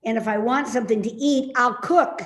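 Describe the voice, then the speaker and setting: High-pitched, querulous older mother played by a woman. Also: fairly quick, echoey room, lightly acted. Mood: indignant scolding. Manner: querulous, scolding. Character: cantankerous, complaining older mother, stubborn and suspicious. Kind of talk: play reading.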